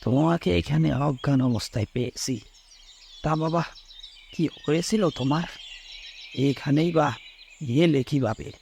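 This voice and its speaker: A man playing a grave, wary old village man in an animated horror story, speaking deliberately. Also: fairly high pitch, gravelly, a little hiss or hum. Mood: concerned questioning.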